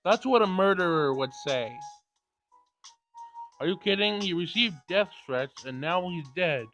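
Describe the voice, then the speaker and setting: A man's voice: high voice, lively intonation, a little slow, fairly bright tone, faint music behind. A man giving casual gameplay commentary and reading game dialogue aloud, with blunt, sarcastic asides.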